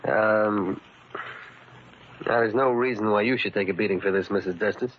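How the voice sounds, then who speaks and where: A man's voice: fairly low pitch, normal speed, slight background noise, natural voice. Tough American gangster henchman played by an actor, muffled radio. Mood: smooth, threatening. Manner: blunt, slangy, streetwise. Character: tough, slangy gangster henchman, coolly menacing. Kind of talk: 1940s radio drama acting. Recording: muffled, worn 1940s radio recording.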